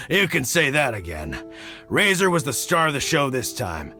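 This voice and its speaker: A man's voice: fairly high pitch, wildly swooping pitch, slow, bright, forceful tone, exaggerated voice. An actor playing a middle-aged hunter and caring father in an anime game, reflective and plain-spoken. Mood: hearty. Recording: faint music behind.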